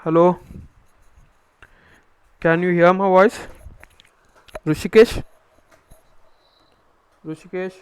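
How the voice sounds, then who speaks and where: A man's voice: high-pitched, steady tone, slow pace, long pauses, clean tone. A man with an Indian accent giving a live online engineering lecture, posing questions to himself and repeating phrases as he explains.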